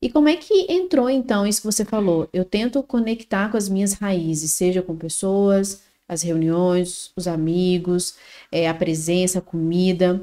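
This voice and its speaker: A woman's voice: on the low side, moderate pace, dark tone. A woman hosting an interview podcast, encouraging her guest with frequent affirmations in casual conversation. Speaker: podcast host.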